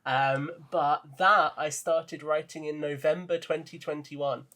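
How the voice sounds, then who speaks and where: A man chatting casually as a podcast guest, talking enthusiastically about his writing and quick to laugh. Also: fairly high pitch, fairly even intonation, sharp tone. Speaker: podcast guest, audio drama writer and narrator. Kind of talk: casual chat.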